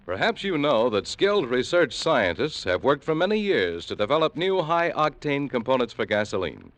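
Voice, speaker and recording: A man's voice: medium pitch, sing-song, normal speed, a bit bright. An American man announcing a 1950s radio commercial with polished, emphatic salesmanship. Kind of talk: 1950s radio advertising pitch. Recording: dull, dry vintage radio recording.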